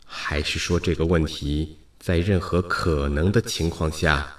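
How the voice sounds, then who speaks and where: Far-future human soul, played by a man: low, echoing, solemn and searching, for science-fiction radio drama. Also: steady tone, measured pace. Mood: grave, searching. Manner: earnest, solemn questioning. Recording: echoey voice over faint music. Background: faint music.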